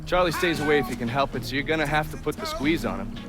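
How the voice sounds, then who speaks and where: A man's voice: a little high, quick pace, over music, lightly acted. An actor playing a cynical, hot-tempered male intelligence operative in a video game, brash and talkative. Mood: briefing, confident. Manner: brash, foul-mouthed, talkative.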